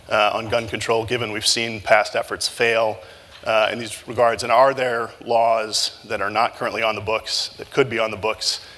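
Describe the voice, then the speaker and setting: A man moderating a live breakfast event, talking loosely with many filler pauses as he asks his guests questions. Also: fairly low pitch, a bit bright, long reverb tail. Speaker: moderator and journalist. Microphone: handheld mic.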